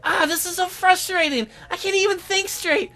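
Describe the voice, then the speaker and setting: Woman doing a high, flustered teenage girl. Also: fairly even intonation, moderate pace, pushed and bright. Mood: anxious, yearning. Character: flustered, lovestruck teenage girl, dramatic and whiny. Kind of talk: visual novel voice-over put on by a let's-player.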